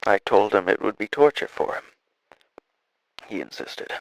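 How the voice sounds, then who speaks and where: A man playing a dying, regretful scientist in an audio drama, speaking haltingly and wearily as he records a solemn log. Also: fairly low pitch, animated, a little hiss or hum, pitched below the performer's own voice, natural voice, low-quality recording. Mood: resigned, determined. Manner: halting, solemn, weary delivery with trailing phrases.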